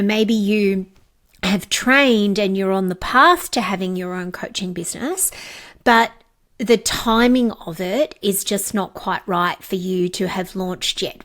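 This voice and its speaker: Slow-talking woman with a head cold. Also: medium pitch. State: has a cold, a bit raspy and blocked up. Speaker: podcast host and coaching trainer. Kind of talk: solo podcast talk.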